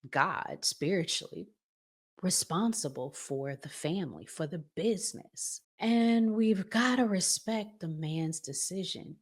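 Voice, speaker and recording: A middle-aged woman with a deep voice speaks slowly and deliberately. She sounds like a podcast host giving informal advice straight to other women, leaning on key words with a touch of preacher-like phrasing. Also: darkish tone.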